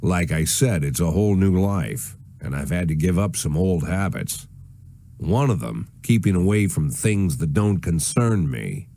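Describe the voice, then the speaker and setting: An actor playing a middle-aged, world-weary police chief in a noir video game, speaking in a deliberate, wry way. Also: male voice, low-pitched, animated, vocal fry, warm tone, no room sound, full character voice. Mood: brooding. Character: weary, brooding police chief facing forced retirement.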